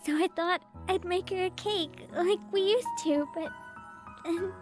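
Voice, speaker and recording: Visual novel role: high-pitched young sister, earnest and guilt-ridden, music underneath. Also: female voice, measured pace. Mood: grieving, upset. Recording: music playing behind the voice.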